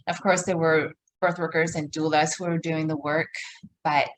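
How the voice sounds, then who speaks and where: A woman from Malaysia, a podcast guest, telling her story slowly and thoughtfully with pauses and fillers. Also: deep voice, rough recording. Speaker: guest, co-creator of a womb garden. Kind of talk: podcast interview, reflective storytelling.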